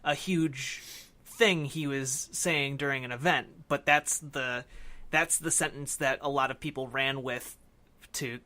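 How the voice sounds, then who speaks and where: A man co-hosting a casual film discussion podcast, talking in a halting, discursive way that often hedges. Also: mid-range voice, fairly even intonation, sharp tone. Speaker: podcast co-host.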